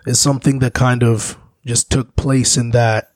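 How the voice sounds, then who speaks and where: A man's voice: medium pitch, flat delivery, normal speed, no room sound. A man from Zambia, a musician being interviewed, who tells reflective stories in a rambling way. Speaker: musician guest. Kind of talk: casual interview talk.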